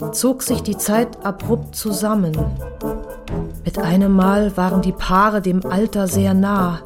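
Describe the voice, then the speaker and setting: A woman delivering scripted literary narration for an audio drama, precise and matter-of-fact. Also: mid-range voice. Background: music.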